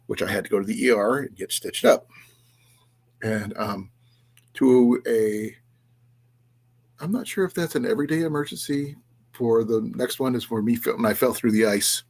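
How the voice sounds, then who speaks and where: A man with a slightly raspy voice hosting a casual livestream, talking in a halting, rambling way with restarts and trailing asides as he chats with viewers. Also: lowish voice. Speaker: livestream host of an outdoors and preparedness channel. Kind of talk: casual livestream chat, reading and answering viewers' comments.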